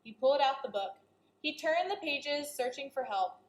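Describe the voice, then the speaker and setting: A woman's voice: high voice, expressive, moderate pace, reverberant. A woman teacher reading a children's story aloud, expressively and speaking directly to her young listeners.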